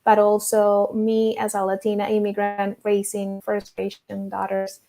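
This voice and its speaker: Woman, from Venezuela, counselor, podcast guest, medium pitch, thoughtful pace. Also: monotone, normal speed, warm tone. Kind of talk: casual interview talk.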